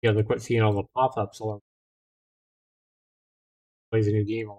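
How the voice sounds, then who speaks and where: A man's voice: fairly low pitch, flat delivery, normal speed, darkish tone. A middle-aged man hosting a livestream, reading a visual novel aloud with casual asides to viewers and occasional stumbles over the text. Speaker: livestream host.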